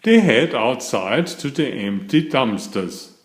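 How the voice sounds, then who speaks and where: Male read-aloud narrator for a children's picture book: slow, very animated, echoey room. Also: medium pitch. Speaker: storybook reader. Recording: roomy echo.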